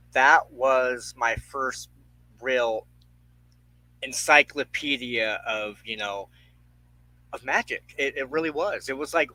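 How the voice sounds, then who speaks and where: Bright-voiced male podcast host. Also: medium pitch, rough recording. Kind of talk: casual conversational interviewing.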